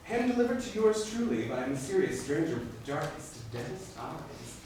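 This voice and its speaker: Man acting a florid, animated young poet, echoey hall. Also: on the high side, normal speed, distant mic, slight background noise. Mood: wry, teasing. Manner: florid, wry, theatrical phrasing. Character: witty, melancholy young male poet, flamboyant and teasing. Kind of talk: musical theatre stage acting. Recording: echoey hall recorded from afar, piano music.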